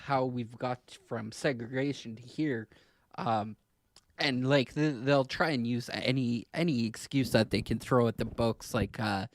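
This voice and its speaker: A man with a gravelly, creaky voice, recorded close and dry. He talks as a podcast co-host in casual discussion, working through his explanations in a loose, halting way at a middling pitch. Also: no echo. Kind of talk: casual discussion of films and politics.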